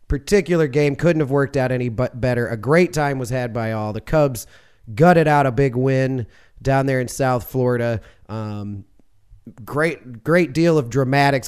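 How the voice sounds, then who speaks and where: A man hosting a sports podcast, chatting alone at a middling pitch. His voice is smooth and resonant. He rambles and jokes his way through, often stopping to correct himself. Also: darkish tone. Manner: rambling and jokey, with self-corrections. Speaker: podcast host. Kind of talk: casual sports podcast monologue.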